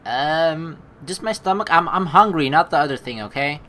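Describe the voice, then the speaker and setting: A young woman reading a visual novel aloud with joking, swearing asides, animated and quick to laugh. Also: low-pitched, a little hiss or hum. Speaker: let's play streamer reading a visual novel.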